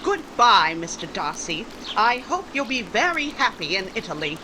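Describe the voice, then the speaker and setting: An actress plays a proud young woman in an old radio romance. She is polite yet pointed, with a medium-pitched, very expressive delivery over clearly audible background noise. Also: normal speed, natural voice. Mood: indignant. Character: proud, polite but spirited young unmarried daughter. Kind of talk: 1940s radio romance acting.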